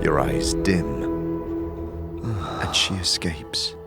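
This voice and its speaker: A man narrating a scripted story in the second person, with measured, dramatic storytelling. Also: very deep voice. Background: music.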